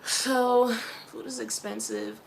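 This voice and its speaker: A woman's voice: on the high side, sing-song, takes it slowly, slight background noise, poor audio. A young woman from Philadelphia with a Philly accent, talking casually and rambling in a personal vlog, often laughing and mentioning her faith. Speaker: vlogger.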